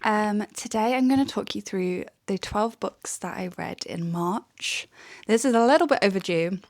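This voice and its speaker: A young woman, chatting casually through her monthly book reviews, a little under the weather and sometimes slightly croaky, with frequent "um"s. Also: mid-range voice, normal speed, darkish tone. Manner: chatty, frequent "um" and light laughs. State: recovering from illness, may sound croaky. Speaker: book vlogger.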